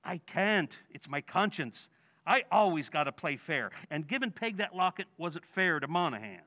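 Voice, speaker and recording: A man's voice: on the high side, very animated, moderate pace, bright, forceful tone, muffled recording. A man acting a hapless, guilt-ridden working-class husband in an old-time radio comedy, flustered, earnest and pleading. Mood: guilty, anxious.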